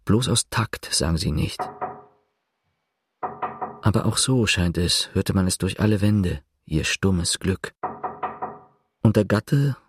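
An actor playing a reflective narrator writing his account, measured and ironic, in a German literary radio play. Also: low voice, lively intonation, husky, dry recording, studio quality, heavily acted. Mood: dryly ironic.

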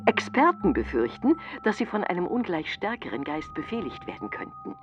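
A woman's voice: on the low side, very animated, normal speed, muffled recording, music in the background. An actress playing an officious female announcer who delivers dramatic, precise ghost warnings in a children's audio drama. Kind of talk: scripted radio bulletin narration in a children's drama.

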